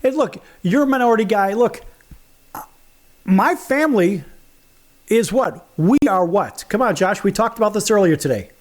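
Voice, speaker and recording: A man hosting a sports talk show, animated and firing off rhetorical questions. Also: high-pitched, normal speed. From United States. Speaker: host.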